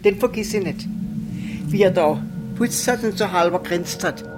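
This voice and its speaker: A woman's voice: mid-range voice, moderate pace. Southwestern German woman recalling, music behind. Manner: colloquial, emphatic repetition. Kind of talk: personal recollection in an interview.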